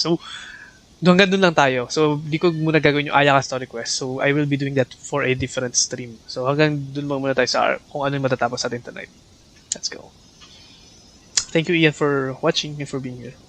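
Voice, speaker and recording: A man's voice: mid-range voice, fairly even intonation, fast, fairly bright tone, slight background noise. A man with a Filipino accent giving casual, chatty gaming commentary as a livestreamer, mixing two languages. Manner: chatty, mixes two languages, greets viewers. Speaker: game livestreamer. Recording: game music behind the voice.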